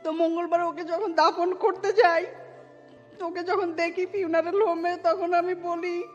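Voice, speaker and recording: High-pitched emotional woman. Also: flat delivery, measured pace, lo-fi sound, faint music behind. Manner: emotional, code-switching with English words. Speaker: guest telling her life story. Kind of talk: personal storytelling in an interview.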